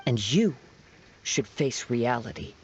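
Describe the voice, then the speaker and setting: An actor playing a calm, perceptive young samurai in a video game, speaking in measured, formal and philosophical phrases. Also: male voice, medium pitch, a little hiss or hum. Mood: reproving. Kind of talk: video game character dialogue.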